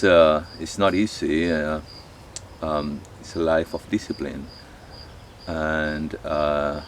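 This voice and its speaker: A middle-aged man with an Argentine Spanish accent, a monk speaking in a halting, thoughtful way and often pausing to search for words. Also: sits low, slight background noise. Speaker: monk, abbot of a monastery. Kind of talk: reflective spoken talk to camera.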